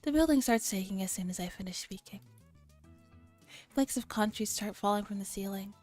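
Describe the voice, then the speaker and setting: A soft-spoken woman streamer reading a visual novel aloud in an ASMR style, sometimes dropping toward a whisper, on a close microphone. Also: mid-range voice, brisk. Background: background music.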